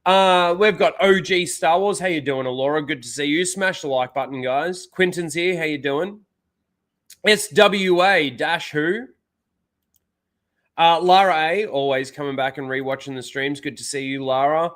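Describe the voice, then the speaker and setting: A man's voice: a little high, steady tone, moderate pace, a bit bright. Man rambling on a livestream. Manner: rambling, filler-heavy, reads out chat names. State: blocked nose. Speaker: live stream host. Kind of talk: casual rambling livestream chat.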